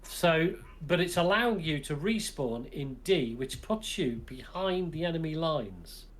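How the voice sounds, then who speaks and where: A man's voice: fairly high pitch, expressive, unhurried, rough recording. A man co-hosting a casual gaming chat, talking game rules through aloud and sounding exasperated with the design.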